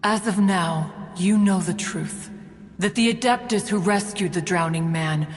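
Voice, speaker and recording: A woman's voice: fairly low pitch, measured pace, big-room sound, close to an everyday voice. A woman voicing a proud, aloof ancient female immortal in a video game, with formal, lofty, archaic phrasing. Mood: solemn.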